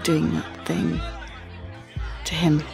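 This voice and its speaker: Lowish-voiced, confiding teenage girl. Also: big pitch swings, gravelly, music in the background, crowd noise, exaggerated voice. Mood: shaken. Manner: confiding, halting. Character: sensitive teenage art student, shaken and confiding. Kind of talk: dramatic monologue in audio play.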